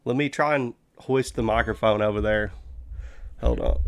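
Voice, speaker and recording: A man's voice: medium pitch, measured pace. A man hosting a casual stream chat, with crude, profane banter. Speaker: stream host.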